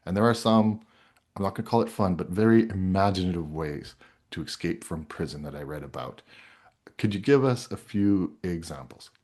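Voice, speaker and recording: A man's voice: sits low, normal speed, darkish tone. A man hosting a podcast interview, moving between introductions and conversational questions.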